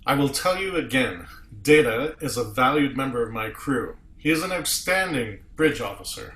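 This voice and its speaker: A man's voice: mid-range voice, expressive, moderate pace, a bit bright, echoey room, natural voice. A man playing a principled, indignant starship captain in a science fiction table read, speaking earnestly in emphatic phrases. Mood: firm. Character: principled, indignant male starship captain defending his officer.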